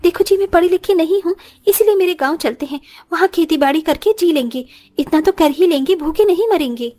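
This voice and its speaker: A woman's voice: very high voice, brisk, dark tone. A woman playing a humble, hardworking young village wife with an earnest, self-sacrificing manner in a moral story cartoon. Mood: reassuring. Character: humble, hardworking poor village daughter-in-law, expecting a child.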